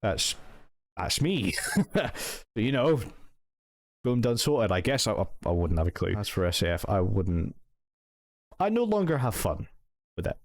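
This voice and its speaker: A man's voice: on the low side, sing-song, measured pace, long pauses, no echo. A young Scottish man talking hesitantly and sincerely in a personal vlog update, full of restarts and thanks. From Scotland. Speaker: channel owner and roleplay group member.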